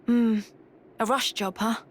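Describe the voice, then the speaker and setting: A woman's voice: on the high side, level tone, a little slow, a little hiss or hum, natural voice. A woman with a British accent playing a blunt, tough young soldier in a video game cutscene. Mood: questioning.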